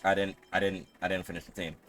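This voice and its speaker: A man's voice: low-pitched, level tone, fast, fairly bright tone, faint music behind. A man giving casual game commentary as a streamer, slangy, sweary and repeating himself as he reacts.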